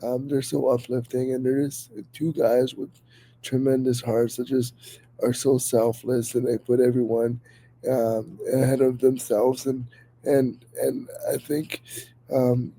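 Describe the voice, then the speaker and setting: A man's voice: medium pitch, flat delivery, a little slow, mellow, husky, low-quality recording. A man hosting a podcast in an earnest, enthusiastic way, his voice a bit worn from being slightly sick. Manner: earnest, enthusiastic, many fillers and restarts. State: a little sick, voice under the weather. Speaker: podcast host. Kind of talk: casual podcast talk.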